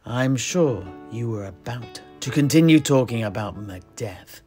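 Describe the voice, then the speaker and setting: A man's voice: mid-range voice, expressive, a little slow, a little mellow, faint music behind, lightly acted. A man voicing a sly, rebellious tavern keeper and amateur historian in fantasy banter, pointedly polite and theatrical. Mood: sly, menacingly polite.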